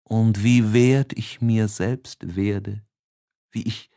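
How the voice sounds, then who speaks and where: Audiobook role: tormented young male lover, played by a male reader, slow, impassioned, sighing, sensitive. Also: lowish voice, steady tone. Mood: hushed rapture. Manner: impassioned, sighing, swinging between hush and exaltation. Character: lovestruck, tormented, sensitive young man writing letters.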